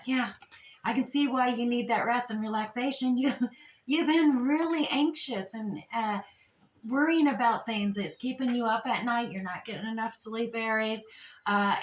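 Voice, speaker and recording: A woman doing a chatty, meandering tarot reading while losing her voice, her throat strained. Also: fairly high pitch. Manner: chatty, meandering, with many fillers and restarts. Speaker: tarot reader. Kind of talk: casual tarot reading to camera. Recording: echoey room with faint noise.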